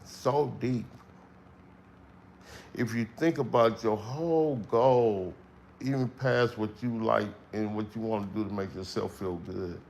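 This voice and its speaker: A middle-aged man with a strong, carrying voice, speaking slowly and emphatically in a casual livestream monologue with long pauses. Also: fairly low pitch, a little mellow, a little hiss or hum. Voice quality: voice that carries, strong tone. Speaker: livestream host.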